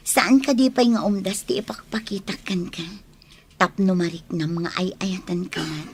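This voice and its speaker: A woman's voice: mid-range voice, steady tone, unhurried, pauses often, slightly rough. A woman playing a tender but firm woman in love in an Ilocano radio drama, speaking earnestly and sentimentally.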